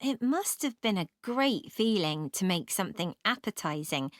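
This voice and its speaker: A woman's voice: mid-range voice, sing-song, normal speed, no echo, studio quality, natural voice. A woman playing an interested, supportive young food science student in a scripted discussion.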